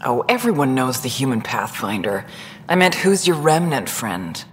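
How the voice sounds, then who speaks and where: A woman's voice: a bass voice, very animated, normal speed, in a large hall, slight background noise. A woman playing a haughty alien rival in a video game, condescending and needling with mock sweetness. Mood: smug, needling. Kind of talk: video game dialogue acting.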